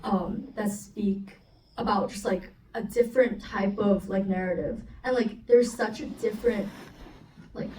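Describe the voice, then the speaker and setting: Female, twenties, from Singapore, casual and chatty film student, medium pitch, faint noise behind. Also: steady tone, unhurried, warm tone. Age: 20s. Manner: chatty, peppered with "like". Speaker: film student and festival panelist. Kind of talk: casual panel answer. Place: panel event room with ambient noise. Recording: distant roomy event mic, background noise.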